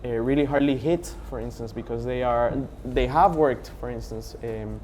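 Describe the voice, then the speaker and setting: A man's voice: mid-range voice, moderate pace, a little mellow, a little hiss or hum. A young man from Honduras speaking as a panelist, earnest and methodical with many for-instances. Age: young adult. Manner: earnest, building points with for instance. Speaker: panelist, youth organization founder. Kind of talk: panel discussion.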